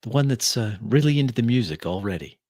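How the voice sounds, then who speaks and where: A man voicing a smooth-talking, coaxing club regular and musician in a tabletop roleplaying game. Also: mid-range voice, animated, fast talker, darkish tone, no echo, clean studio sound, pitched above the performer's own voice, close to an everyday voice.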